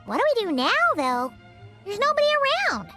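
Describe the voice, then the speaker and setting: A woman voicing a tiny, excitable childlike fairy companion in a video game, with a squeaky voice and exclamatory delivery. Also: a little fast, music in the background. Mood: puzzled, speculative. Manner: exclamatory, refers to herself in third person. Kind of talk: video game character acting.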